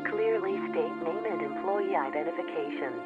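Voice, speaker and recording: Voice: mid-range voice, normal speed. A performer voicing an impersonal automated security system in a video game, clipped and procedural, through a radio-like filter. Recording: tinny radio-like band, loud music behind.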